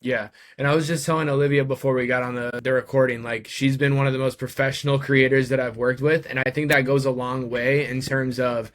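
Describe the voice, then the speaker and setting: A man's voice: medium pitch, level tone, a little fast, few pauses. Man casually interviewing a guest.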